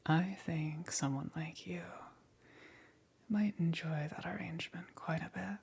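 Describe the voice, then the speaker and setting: A woman playing a smug, teasing demon queen in an ASMR roleplay, with a breathy, whispery voice and a taunting, seductive menace. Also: sits low, exaggerated voice. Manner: slow, taunting, punctuated by soft chuckles.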